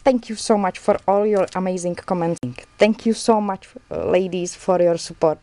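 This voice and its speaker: A woman from the Czech Republic with a Czech accent, chatting casually and a little haltingly in learner's English while showing her handmade journals, laughing often and poking fun at herself. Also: on the low side, steady tone, mellow, no echo. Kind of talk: casual crafting video chat. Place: indoors at a craft table, filmed from above.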